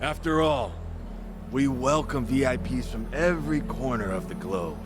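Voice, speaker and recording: A man playing a composed, condescending casino owner and crime boss in a video game, speaking in a formal, measured way. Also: mid-range voice, expressive, music in the background, natural voice. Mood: smug lecturing. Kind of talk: English video game voice acting.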